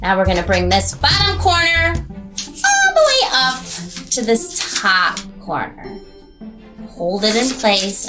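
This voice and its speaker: A woman craft teacher guiding children step by step in simple, encouraging phrases. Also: medium pitch.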